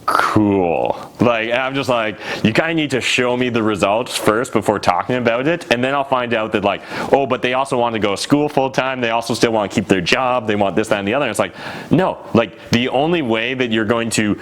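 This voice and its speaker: A man's voice: medium pitch, a little fast, a bit bright, slight background noise. A man hosting a casual interview, talking in a direct, slangy, informal way. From Canada. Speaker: channel host, interviewer. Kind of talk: casual interview and reaction commentary.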